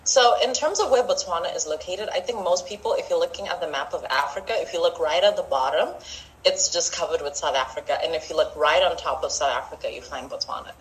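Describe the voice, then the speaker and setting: A woman's voice: fast talker, poor audio. A woman from Zimbabwe, an author talking casually as a guest, chatty and laughing often. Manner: chatty, laughs often, acts out quoted friends in a high voice. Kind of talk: casual interview chat.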